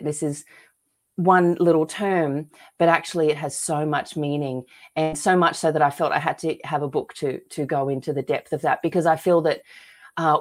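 An Australian woman with a slightly low-pitched voice talks as a podcast guest. Her delivery is earnest and emphatic, in a conversational storytelling style. She speaks as someone sharing her own experience and convictions. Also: darkish tone. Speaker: podcast guest, author and filmmaker. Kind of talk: conversational interview, personal storytelling.

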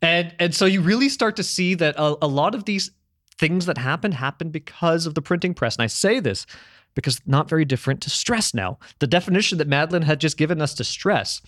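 A man's voice: fairly high pitch, lively intonation, a little fast, fairly bright tone, dry recording. Rambling, enthusiastic man with a dry sound. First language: English, grew up also speaking French at home. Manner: rambling and enthusiastic, many restarts, casual swearing. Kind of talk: casual conversational explaining.

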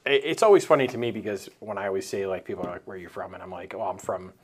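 A man's voice: low voice, racing, slight background noise. Easygoing man in casual podcast banter. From New Hampshire. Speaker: podcast co-host. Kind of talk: casual chat.

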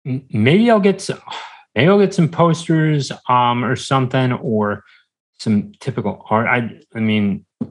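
Male podcast guest, medium pitch, unhurried and halting, mild-mannered casual conversation. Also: rough recording. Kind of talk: casual interview chat.

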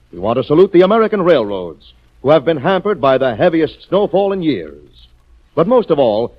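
A man delivering scripted announcing and narration in an emphatic, dramatic 1940s radio style. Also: fairly high pitch. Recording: dull old 1940s radio recording.

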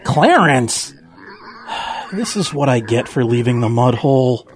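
A man's voice: mid-range voice, animated, a little slow, natural voice. A man voicing a grumpy, bewildered pig who grumbles, in a children's audio drama. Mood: puzzled, grumbling.

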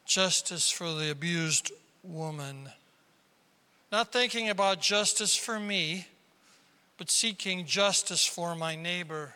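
A man from South Dakota preaching a sermon as pastor, with a squeaky tenor voice and emphatic delivery that climbs into sudden loud bursts. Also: slow pace, smooth. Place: large church hall. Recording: reverberant hall.

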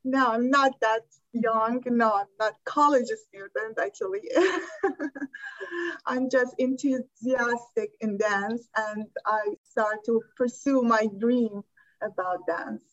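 A woman from Iran with an Iranian accent, speaking English as a second language, softly and with frequent laughs. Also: on the high side, slow pace, no echo. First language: Persian. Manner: soft-spoken, laughs often, slightly broken English. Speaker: guest dancer. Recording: dull dry sound.

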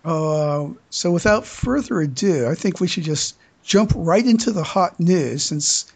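A podcast host chatting casually through fan news, with a halting delivery that often stammers and repeats words. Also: medium pitch, expressive, a little mellow.

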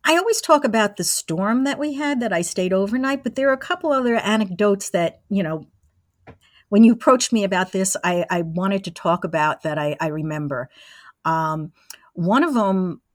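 A woman's voice: mid-range voice, expressive, moderate pace, dry recording. Older woman reminiscing in a dry-sounding recording. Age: 60s. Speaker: interview guest, former college radio engineer. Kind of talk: casual anecdotal reminiscing.

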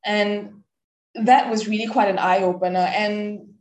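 A woman's voice: mid-range voice, steady tone, unhurried, a little mellow. Chatty Singaporean woman guest. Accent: Singaporean English. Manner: chatty, many "like" fillers. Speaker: podcast guest. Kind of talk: casual interview chat.

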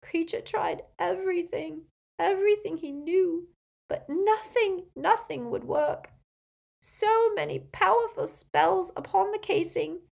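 A woman voicing a frightened, servile old male house-elf in an audiobook, tearful and halting as he speaks of himself in the third person. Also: very high voice, very animated, gravelly, darkish tone, pitched above the performer's own voice, exaggerated voice. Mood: wretched, despairing. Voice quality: tearful, moaning, breaking voice.

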